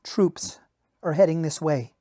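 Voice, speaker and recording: A woman's voice: sits low, measured pace, dark tone, no room sound, clean studio sound. A man voicing an alert female rebel soldier in an audiobook-style reading, reporting to a sergeant in urgent, clipped phrases. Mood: grim, urgent.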